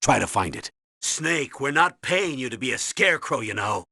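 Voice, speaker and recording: A military colonel in a video game, very tense and bright, dry close sound, bossy clipped orders. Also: male voice, mid-range voice, very animated, normal speed, dry recording, exaggerated voice. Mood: stern, exasperated. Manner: authoritative, clipped, commanding. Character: stern, urgent military colonel giving mission orders. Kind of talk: dramatic video game radio briefing.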